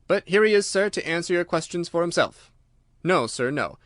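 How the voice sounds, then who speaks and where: A man's voice: on the high side, animated, moderate pace, fairly bright tone, no echo, clean studio sound, pitched above the performer's own voice. A man voicing a wary but obliging stable groom, deferential and guarded, in a storybook reading. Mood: obliging, nervous.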